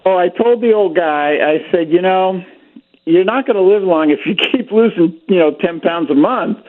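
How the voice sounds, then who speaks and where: Doctor guy calling in from pennsylvania, kinda high voice, tinny phone line, relaxed storyteller. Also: normal speed. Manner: easygoing storyteller, relaxed and chatty. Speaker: physician guest. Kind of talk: casual radio chat. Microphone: phone call.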